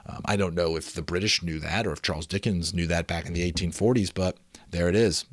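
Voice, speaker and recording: A man narrating a storybook in a theatrical, expressive way. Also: low voice, brisk, no echo. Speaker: amateur audiobook narrator. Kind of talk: dramatic storybook narration with casual asides.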